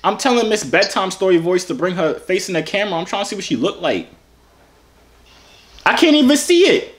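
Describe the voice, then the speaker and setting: A man's voice: high voice, big pitch swings, a little fast. A man, chatting casually and flirtatiously in a playful, teasing way. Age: 20s. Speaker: vlogger chatting with strangers online. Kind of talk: casual flirty video chat. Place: indoor home gym or garage. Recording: clearly noisy room recording with clicks.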